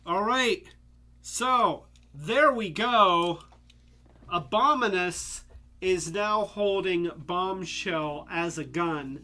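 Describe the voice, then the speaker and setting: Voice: mid-range voice, extremely slow, sharp tone. A live-stream host reviewing toys in a casual, rambling way, congested and losing their voice, a bit tipsy from drinks. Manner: rambling, many fillers and restarts.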